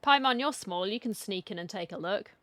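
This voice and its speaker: A woman's voice: mid-range voice, expressive, fairly quick, a bit bright, dry recording. A woman hosting a gaming playthrough, musing aloud and reacting conversationally. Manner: conversational, muses aloud at the game. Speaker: gaming video host. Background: faint game music.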